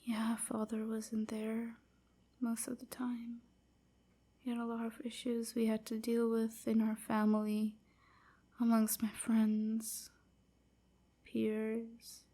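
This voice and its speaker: A woman playing a timid, submissive vampire slave in an intimate audio roleplay, hesitant and stammering, with a soft, whispery, apologetic delivery. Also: a little high, monotone, takes it slowly. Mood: sad, subdued. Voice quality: soft, breathy, often whispery. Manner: hesitant, stammering, hushed and breathy.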